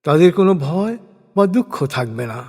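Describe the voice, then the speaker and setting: A man narrating translated scripture in a solemn, measured, formal way, phrase by phrase with falling endings. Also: on the high side, sing-song, a little mellow. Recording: long reverberant hall effect.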